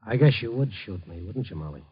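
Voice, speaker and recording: An actor playing an earnest, hard-boiled newspaper reporter, urgent and moralizing, in a 1950s radio drama. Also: male voice, on the low side, lively intonation, fast, natural voice. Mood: probing. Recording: dull vintage radio broadcast recording.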